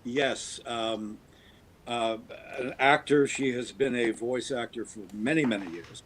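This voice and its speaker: A man's voice: mid-range voice, unhurried, a bit bright, a little hiss or hum, low-quality recording. A man in his fifties from the US East Coast, a guest actor fondly reminiscing about old radio drama in casual chat. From US East Coast, Baltimore. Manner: reminiscing, thoughtful.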